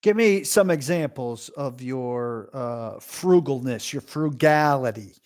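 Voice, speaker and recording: A man's voice: medium pitch, animated, slow, darkish tone. A man interviewing a guest, asking questions in a playful, teasing way. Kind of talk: podcast interview.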